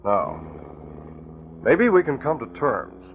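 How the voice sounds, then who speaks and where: An actor playing a calm, commanding masked vigilante in a 1940s radio drama, on an old muffled recording. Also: male voice, mid-range voice, slow pace, gravelly, slight background noise, heavily acted. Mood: firm, menacing.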